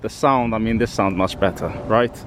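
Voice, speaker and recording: A man's voice: lowish voice, moderate pace, a little hiss or hum. A man hosting a casual car walkaround outdoors, chatty and admiring, recorded on a camera mic. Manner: chatty, admiring, repeats himself. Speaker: car vlogger host. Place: outdoors beside a parked car.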